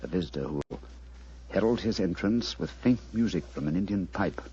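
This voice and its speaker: An actor playing a commanding, razor-sharp detective with a British accent and precise theatrical diction, in a 1940s radio drama. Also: male voice, low voice, animated, moderate pace. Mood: hushed, tense. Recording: dull, noisy 1940s radio recording.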